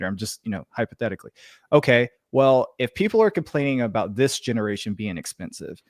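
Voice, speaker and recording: A man's voice: mid-range voice, no room sound, clean studio sound. A man in his thirties hosting a tech channel, chatting casually with guests on a video call, friendly and walking through his questions step by step. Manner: friendly, lays out questions step by step, fillers like "right?". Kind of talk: casual interview chat.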